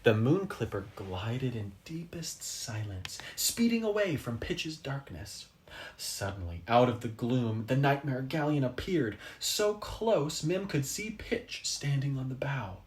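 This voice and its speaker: A man reading a children's story aloud expressively, with dramatic pauses for effect. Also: medium pitch, sing-song. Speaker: storybook reader.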